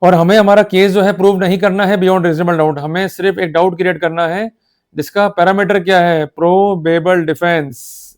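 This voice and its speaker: Higher-pitched Indian man lecturing emphatically. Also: fairly even intonation, moderate pace. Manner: emphatic, exhorting, checks understanding often. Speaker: law lecturer. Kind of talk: instructional law lecture in Hindi-English mix.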